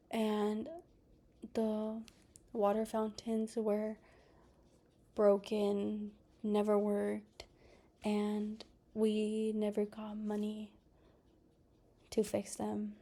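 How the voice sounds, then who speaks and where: A young woman whose first language is Spanish gives a class presentation, partly read and partly personal, speaking softly with pauses and restarts. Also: mid-range voice, monotone. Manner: slow and soft-spoken, with pauses and restarts. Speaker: student presenter.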